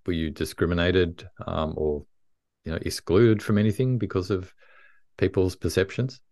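A man's voice: deep voice, fairly even intonation, a little mellow, dry recording, clean studio sound. A man hosting an interview, asking curious questions that often start over. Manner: curious questions with halting restarts. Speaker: podcast host. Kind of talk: interview conversation.

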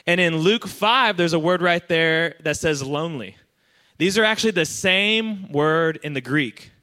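Bright-voiced male pastor, slightly high, energetic and chatty, asks the crowd for responses. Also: a little slow. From Iran. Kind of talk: sermon to a young adult congregation.

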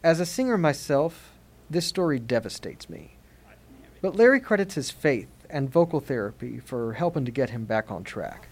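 A man hosting a podcast, reading scripted documentary narration in a measured, expressive way. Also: medium pitch.

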